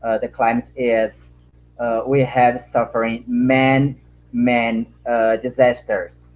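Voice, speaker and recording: A man from Brazil speaking English as a second language with a Brazilian Portuguese accent, answering a panel question in a halting way with many fillers and repeated words while he searches for words. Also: medium pitch, little pitch movement, low-quality recording. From Acre, Brazil.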